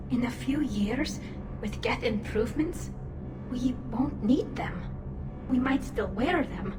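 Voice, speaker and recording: An actress playing an earnest, humble young female alien engineer in a game, reflective and hesitant. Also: fairly high pitch. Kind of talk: scripted game dialogue. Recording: roomy echo, loud music behind.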